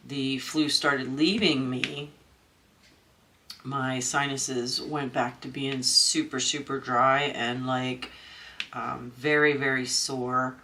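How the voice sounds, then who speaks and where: A woman's voice: a bass voice, sharp tone, slight background noise. A woman from Maryland with a stuffy, congested nose, chatting casually at home while she crafts, rambling with lots of ums and restarts. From Maryland, USA. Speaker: crafting channel host.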